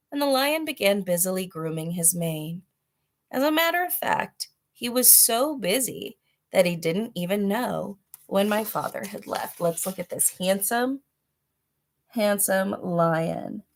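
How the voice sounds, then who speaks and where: Woman reading a children's storybook aloud. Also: lowish voice. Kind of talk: read-aloud storybook narration with chatty asides.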